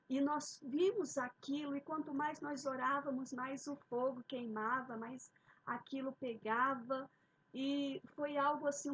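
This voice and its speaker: A woman preaching a sermon, opening with a fervent prayer and often addressing her listeners warmly. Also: high-pitched, breathy edge, poor audio. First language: Portuguese. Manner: fervent, repeated "queridos" and "ó Pai".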